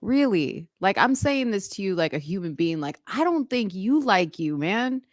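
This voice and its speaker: A woman's voice: medium pitch, big pitch swings, moderate pace, no room sound, clean studio sound. A woman hosting a podcast, talking frankly and probing her guest, stretching words for emphasis. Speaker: podcast host. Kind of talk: direct podcast conversation.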